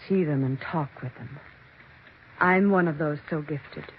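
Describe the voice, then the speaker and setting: A woman playing a domineering, scheming mother who claims to speak with the dead, in stern American 1940s radio suspense acting. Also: sits low. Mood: stern, menacing. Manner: stern, commanding, deliberate diction. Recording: dull, noisy 1940s radio recording.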